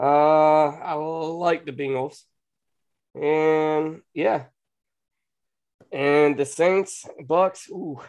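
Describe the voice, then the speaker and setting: Slow-talking laid-back man. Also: on the high side, a little mellow, smooth. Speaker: podcast co-host. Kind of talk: casual sports betting chat.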